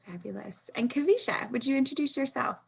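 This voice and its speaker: A woman's voice: fairly high pitch, a little fast, gravelly, husky, poor audio. Woman hosting an online panel. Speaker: host, executive director.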